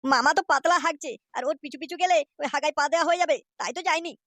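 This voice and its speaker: A woman's voice: high voice, rapid-fire, fairly bright tone, no room sound. A woman voicing a clueless young Bengali nephew in a comedy cartoon, naive and hesitant. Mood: defensive. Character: clueless young male gang hanger-on, a nephew.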